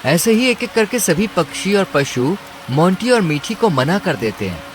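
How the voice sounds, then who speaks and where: A man narrates a scripted cartoon tale as storyteller. There is clear rain and ambience noise behind his voice. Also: high voice, very animated, normal speed.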